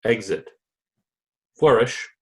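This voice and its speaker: A man's voice: medium pitch, slow, fairly bright tone. A man reading stage directions as scripted narration for a play.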